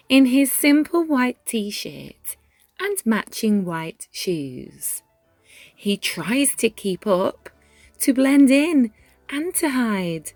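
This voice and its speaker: Very slow, lively woman reading a storybook. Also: mid-range voice, no room sound. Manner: measured, dramatic phrasing with deliberate pauses. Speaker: storyteller reading a picture book aloud. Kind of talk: expressive children's read-aloud narration in rhyme. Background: music.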